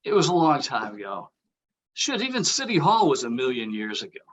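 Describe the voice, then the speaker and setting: An actor plays an evasive, jittery man in a table read. His bright, forward voice sits at a middle pitch. He stammers, restarts and trails off awkwardly. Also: sing-song, brisk, slight background noise. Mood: wry, uneasy.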